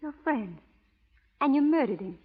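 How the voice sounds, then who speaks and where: An actress playing a strong-willed, proper young frontier schoolteacher in an earnest old radio melodrama style. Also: female voice, high-pitched, animated, unhurried. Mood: horrified, accusing. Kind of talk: 1930s radio melodrama acting. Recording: dull old radio broadcast recording.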